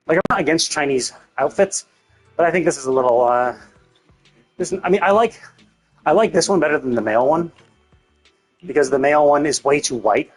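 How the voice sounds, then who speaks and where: Male game streamer, slightly high voice, casual and sweary, rambles through his thoughts, music underneath. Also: husky. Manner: rambling, thinking out loud, self-interrupting; casually profane. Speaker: livestreamer. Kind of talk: casual gameplay stream commentary.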